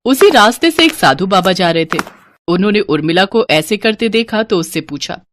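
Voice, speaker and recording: A woman narrating an animated moral story in a steady storytelling manner. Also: mid-range voice, normal speed, background noise.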